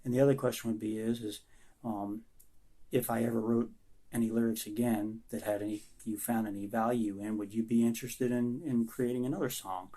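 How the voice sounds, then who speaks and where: A man who is losing his voice answers interview questions about writing song lyrics, speaking in a halting, thoughtful and sincere way with many pauses. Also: medium pitch, fairly even intonation, dark tone. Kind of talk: recorded self-interview answers.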